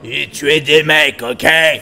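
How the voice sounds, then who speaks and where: A man's voice: on the high side, fairly even intonation, slow, vocal fry, heavily acted. A man playing a drunken, washed-up army instructor, boastful, slurred and rambling, in a comic audio drama. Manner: slurred, rambling, bursting into loud indignation.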